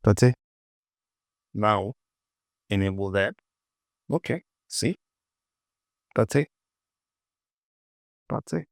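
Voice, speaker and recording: Male tutorial instructor, low and unhurried, informal short phrases, close dry recording. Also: expressive, no echo. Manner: informal, muttered short instructions to self and viewer. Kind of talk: casual screen-recorded software tutorial, talking while working.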